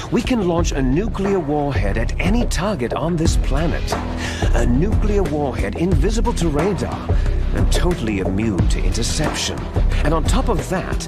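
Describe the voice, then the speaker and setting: A grandiose villain from a video game, slow and commanding, with a pitch that swings dramatically. He sounds like a ruthless boss bent on ruling the world, and loud music plays behind him. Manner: theatrical, commanding, deliberate.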